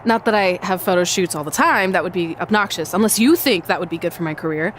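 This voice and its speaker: A woman's voice: on the low side, fast, a little hiss or hum, close to an everyday voice. A woman playing an eager, earnest young female wrestler in a video game, chatty with a dry, self-deprecating wit. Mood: excited, flustered. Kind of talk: video game cutscene acting, conversational.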